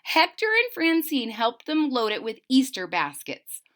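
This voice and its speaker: A woman storyteller reading a picture book to children, deliberate and expressive, with simple explanations. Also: high voice. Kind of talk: expressive read-aloud with simple recaps.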